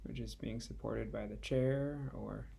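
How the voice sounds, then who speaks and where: Man, guided meditation, creaky low-energy voice, relaxed tone, gentle, unhurried, spacious pauses. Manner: gentle, unhurried, with long pauses between phrases. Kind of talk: guided body scan meditation.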